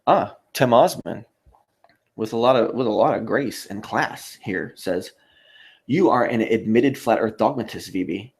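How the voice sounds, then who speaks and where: A man with a fairly low voice and heavy vocal fry hosts a casual live stream. He reads comments aloud and answers in a rambling, halting way, with muttered asides. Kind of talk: casual livestream chat, reading viewer comments aloud.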